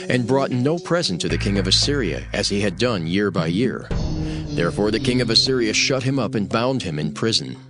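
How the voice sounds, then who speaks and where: A man narrating scripture in a solemn, emphatic, dramatized storytelling style. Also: on the low side.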